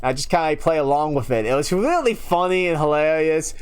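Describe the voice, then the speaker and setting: A man's voice: fairly high pitch, expressive, a little fast, smooth. A young man from New York chatting casually and rambling on a live stream. He often loses his train of thought, chuckles a lot, and has a slight unusual lilt left from a childhood speech impairment. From United States (New York). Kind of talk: casual rambling chat to viewers.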